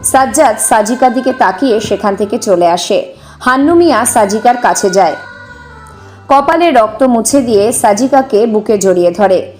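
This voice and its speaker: A woman's voice: a little high, expressive, moderate pace, a little mellow, faint music behind. A woman giving scripted narration for a dramatised audio story.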